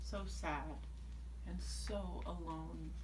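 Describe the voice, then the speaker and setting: Slow-paced woman reading a children's storybook. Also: lowish voice. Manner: measured, deliberate phrasing with dramatic emphasis. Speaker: storybook reader, teacher reading aloud. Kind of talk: expressive read-aloud of a rhyming children's picture book. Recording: distant mic, faint background noise.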